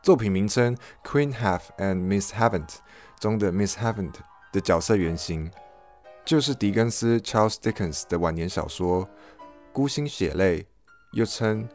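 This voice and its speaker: A man's voice: deep voice, a little mellow. A man hosting an audio log, reading a scripted first-person review as narration. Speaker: podcast host, reviewer.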